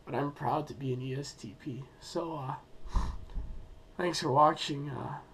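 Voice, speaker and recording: Young guy with a sick hoarse voice, bit higher pitch, pauses a lot, some faint room noise. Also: a bit bright. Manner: hesitant, many ums and uhs, thinking aloud. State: sick and losing his voice. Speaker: student presenting a school project. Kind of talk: unscripted personal presentation.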